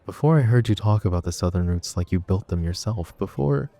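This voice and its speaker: A man playing a possessive, protective crime-family husband in an intimate boyfriend roleplay, speaking in a gruff, wry, low-key murmur. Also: expressive, brisk, warm tone, faint music behind. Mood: guarded, tender.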